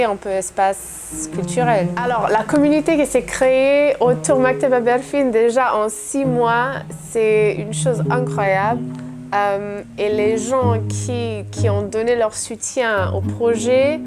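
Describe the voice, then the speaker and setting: A woman in her thirties, a bookshop owner, talking hesitantly in a documentary interview. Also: mid-range voice. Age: 30s. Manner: hesitant, searching for words. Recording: guitar music playing underneath. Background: guitar music.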